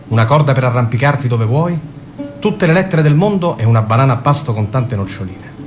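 A man's voice: lowish voice, lightly acted. An actor playing a lovesick young Gazan man, reading out love letters in a tender, intimate way in a radio drama. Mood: playful, tender. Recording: guitar music under the voice.

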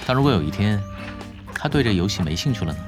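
An easygoing gamer with very animated intonation. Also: male voice, on the low side, fast talker, over music. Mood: calm, certain. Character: laid-back, quietly confident male veteran gamer. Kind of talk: audio drama acting.

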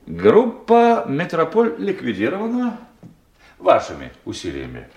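An actor playing a suave, pompous, slyly menacing boss in a radio drama, with drawn-out, deliberate phrasing. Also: male voice, a little high, wildly swooping pitch, a little mellow, highs cut off, heavily acted. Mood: pompous, probing.

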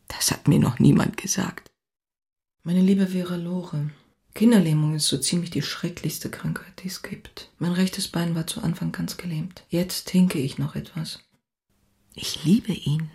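An actress playing a passionate, restless young woman writer who confides her diary in an intimate, confessional, often breathy way. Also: deep voice, darkish tone, exaggerated voice. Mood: ecstatic, anguished. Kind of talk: dramatic diary reading.

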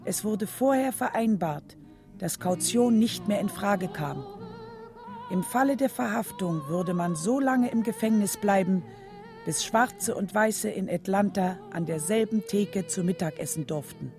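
Mellow and dark in tone, a reflective mother narrating a radio drama. Her mid-pitched voice is measured and serious, with faint music behind. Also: animated.

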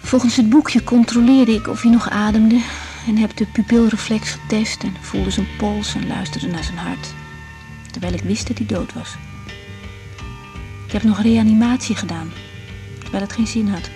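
A young woman doctor, worn down by night work, as an actress plays her in a radio drama. Her voice is soft-edged and mellow, and she speaks intimately and plainly, as if confiding in a diary. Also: mid-range voice, fairly even intonation, moderate pace, soft background music, close to an everyday voice. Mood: drained, upset. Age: young adult. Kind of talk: naturalistic radio drama, diary-like monologue and dialogue.

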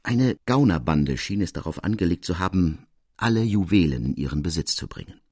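A man reading a classic literary tale as narrator, in measured, formal storytelling prose. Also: sits low, very animated, darkish tone, husky, no room sound, clean studio sound.

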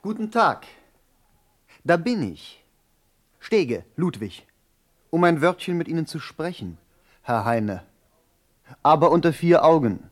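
An actor in a German radio play voicing a stiffly formal, self-important male caller with a deliberate manner. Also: mid-range voice.

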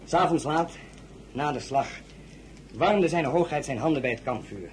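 Actor as proud count and officer, noisy recording. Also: male voice, on the high side, expressive, normal speed, lightly acted. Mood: solemn, reporting. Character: proud, loyal count, royal military aide. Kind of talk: Dutch audio drama acting.

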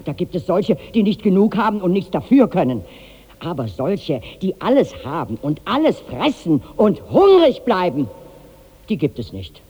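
Husky, fussing servant. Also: female voice, lowish voice, big pitch swings, moderate pace, warm tone. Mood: puzzled, indignant. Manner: chattering, earnest, insistent. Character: fussing, plain-spoken female servant to a rich master. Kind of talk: 1960s German radio drama acting. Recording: dull reverberant 1960s radio recording.

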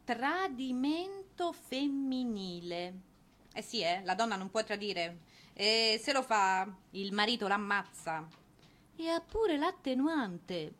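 A woman delivering a theatrical narrated monologue, ironic and expressive, with dramatic pauses. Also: on the high side. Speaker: stage narrator and performer.

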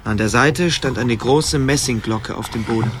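A man's voice: medium pitch, normal speed, background noise. Guy narrating an adventure radio play, mid-range voice, faint background sound. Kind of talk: dramatic scripted storytelling.

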